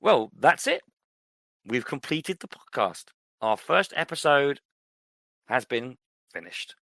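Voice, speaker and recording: A man's voice: mid-range voice, sing-song, takes it slowly, pushed and bright, dry recording. A man co-hosting a podcast, chatting casually about films and TV. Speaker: podcast co-host.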